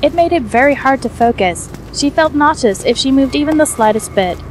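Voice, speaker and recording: High-voiced woman narrating fiction. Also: animated, fairly quick, a little hiss or hum. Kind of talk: scripted fan fiction narration.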